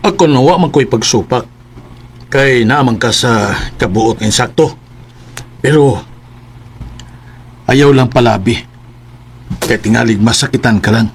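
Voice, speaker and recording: An actor as calm Cebuano country father. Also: medium pitch, measured pace, pauses often, slight background noise, lightly acted. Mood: cautioning. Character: middle-aged farmer father, calm, forgiving, protective. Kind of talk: Cebuano radio drama acting.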